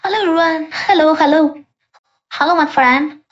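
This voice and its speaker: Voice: high voice, normal speed, a bit bright. A person speaking as a young girl through an AI voice changer, casually testing a microphone. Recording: dull, processed voice-changer audio.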